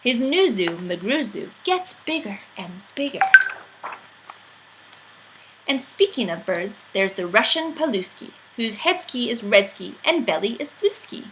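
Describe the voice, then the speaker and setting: A woman's voice: on the high side, very animated, a little slow, noisy background. A woman reading a rhyming children's picture book aloud in a playful, theatrical storytelling manner.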